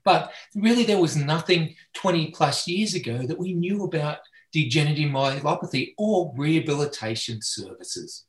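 A man's voice: a little high, expressive, normal speed. A middle-aged man with an Australian accent, a veterinarian answering interview questions earnestly and passionately, sometimes restarting his sentences. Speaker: veterinarian guest. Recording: echoey room.

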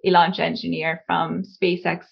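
A woman from Hungary with a creaky voice, answering conversationally as an interview guest. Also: on the low side, level tone, moderate pace, lo-fi sound. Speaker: guest, company founder.

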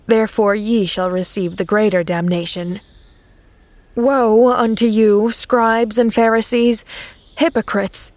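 A woman's voice: a little high, dry recording, lightly acted. A woman reading aloud and voicing a stern, troubled middle-aged male minister who speaks solemnly and declaims scripture.

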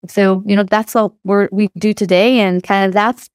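A woman's voice: mid-range voice, fairly even intonation, normal speed, dry recording, studio quality. A woman in her thirties with an Israeli accent, talking casually as a podcast guest with many fillers and restarts. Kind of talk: podcast interview.

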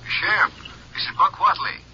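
Indignant Texan rancher, 1950s radio western: blunt, folksy, piercingly bright delivery on a noisy old recording. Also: male voice, normal speed, lo-fi sound. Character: agitated small-time male Texas rancher, folksy and insistent.

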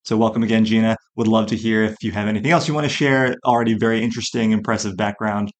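A man's voice: lowish voice, quick pace. A man hosting an interview podcast, introducing his guest and asking questions. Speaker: podcast host.

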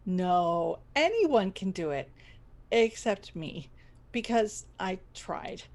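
A woman's voice: mid-range voice, very animated, slow, darkish tone. A woman playing an earnest, frazzled radio station boss in a scripted audio comedy, theatrical and wry. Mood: earnest, businesslike.

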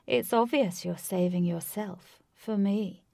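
A woman voicing a theatrical, delusional male stalker who speaks in a grand, drawn-out way. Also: mid-range voice, creaky, dark tone, no echo, clean studio sound.

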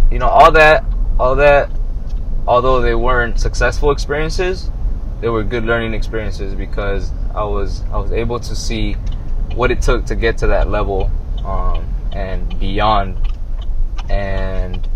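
A man's voice: lowish voice, a bit bright, slight background noise, poor audio. A young man from Southern California, a professional soccer player, talking earnestly as an interview guest, with lots of fillers and restarts. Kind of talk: casual interview.

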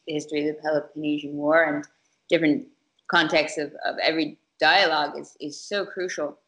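A woman interviewer asking long, halting questions with repeated words. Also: low-pitched, a bit bright, poor audio.

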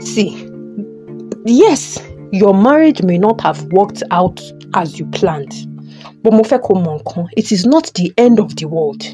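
Mellow, admonishing Nigerian older parent, acted by a woman. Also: medium pitch, expressive, unhurried, faint music behind, close to an everyday voice. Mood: stern, caring. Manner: admonishing, emphatic, repetitive. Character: stern but caring older father, admonishing. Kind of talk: audio drama acting.

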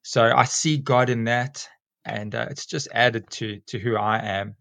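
A man in his forties with a South African accent, a school teacher talking casually as a podcast guest and often pausing with ums and restarts. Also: medium pitch, fairly even intonation, gravelly, a bit bright, no echo, clean studio sound. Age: 40s. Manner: rambling, many ums and restarts.

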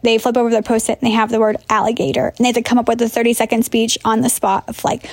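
A woman's voice: a little high, no room sound. A woman teacher leaving a voicemail, explaining in an instructional style, step by step.

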